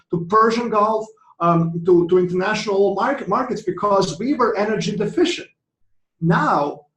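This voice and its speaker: Croatian-accented man lecturing in an echoey hall. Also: high-pitched. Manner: lecturing, frequent fillers and restarts. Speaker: economics professor. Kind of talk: conference presentation. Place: conference hall.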